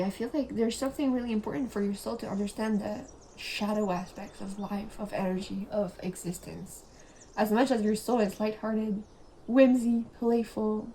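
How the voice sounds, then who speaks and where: A young woman whose first language is French, giving a casual tarot reading in a chatty, giggly, rambling way and sometimes dropping to a soft whisper. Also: medium pitch, a little hiss or hum, poor audio. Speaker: tarot reader.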